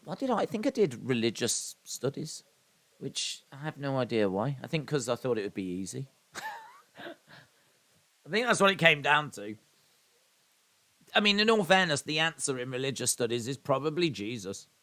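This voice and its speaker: A man's voice: medium pitch, big pitch swings, moderate pace, long pauses, sharp tone. A man in his thirties from England, hosting a casual live watch-along stream, chatting, rambling and reading out viewer comments with self-deprecating asides.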